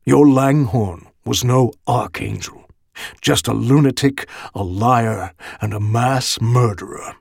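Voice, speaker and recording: A man's voice: medium pitch, slow pace, vocal fry, a little mellow, slightly rough, dry recording, studio quality, heavily acted. A man voicing a cold, vengeful, ancient undead executioner in an audiobook, speaking with emphatic, menacing condemnation. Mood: scornful fury. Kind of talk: dramatic audiobook character dialogue.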